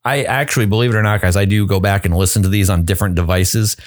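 A man with a lowish voice and a noticeable vocal fry chats casually as a podcast co-host. He rambles, restarting his sentences and filling pauses with "um" and "like." He is recorded close on a studio microphone. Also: fairly even intonation. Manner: rambling, many restarts and fillers. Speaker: podcast co-host and audio editor. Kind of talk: casual chat. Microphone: close studio mic.

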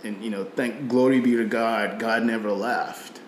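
A man's voice: lowish voice, steady tone, normal speed, roomy sound, a little hiss or hum. A middle-aged man from Nigeria with a slight British-tinged Nigerian accent, speaking reflectively about faith, often repeating words and using fillers. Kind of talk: faith conversation.

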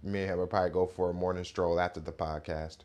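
Guy in his 30s doing a chill morning podcast, voice kinda hoarse and scratchy, rambles with lots of ums. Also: deep voice, fast talker. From Rochester, New York area. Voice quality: relaxed, rambling delivery with many fillers. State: hoarse, slightly raspy voice. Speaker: podcast host. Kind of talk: casual morning podcast chat.

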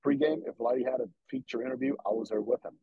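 A man's voice: mid-range voice, quick pace, gravelly, slightly rough, no echo, phone-quality sound. A man from the Dominican Republic, a former player turned broadcaster, earnestly telling stories in an interview and acting out quotes. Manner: earnest, animated, quotes others in voices. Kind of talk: conversational interview storytelling.

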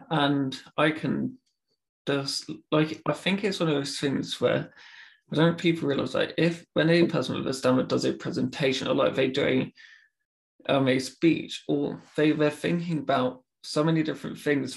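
A podcast host with a stammer talks in a casual, earnest way, leaning on filler words. His voice is strongly gravelly and flat in pitch, sitting a little high for a man. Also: moderate pace. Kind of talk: casual conversation.

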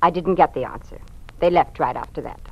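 Cold rule-quoting informant, low-ish voice, dry dull old radio sound. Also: female voice, brisk, husky. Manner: flat, clipped, dogmatic. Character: cold, doctrinaire woman informant. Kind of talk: 1950s radio drama acting.

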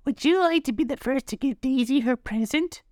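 A man voicing a kindly, gentle young mother in a children's storybook, with a sing-song motherly manner. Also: sits high, fairly quick, no echo, clean studio sound, pitched above the performer's own voice, close to an everyday voice.

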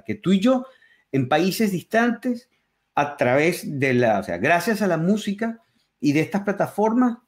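A man talks as a guest over a remote call. His voice sits a little higher than most men's. He tells his stories with enthusiasm, pausing to reflect. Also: darkish tone. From Venezuela. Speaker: interview guest, musician and comedian. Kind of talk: conversational interview.

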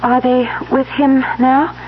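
An actress playing an anxious, urgent young heiress in a 1970s radio drama. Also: female voice, high-pitched, level tone, takes it slowly, a little hiss or hum. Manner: urgent, emotional. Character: anxious, newly married young heiress returning home. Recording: dull, humming vintage radio recording.

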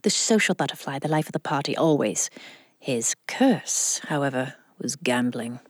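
Flamboyant rich old widow, swooping delivery. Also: female voice, very deep voice, lively intonation, moderate pace, breathy edge, dry recording, clean studio sound, heavily acted. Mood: flirtatious, indulgent. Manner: theatrical, grand, flirtatious drawl. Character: flamboyant, lusty, vengeful wealthy widow in her seventies. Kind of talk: audio drama acting.

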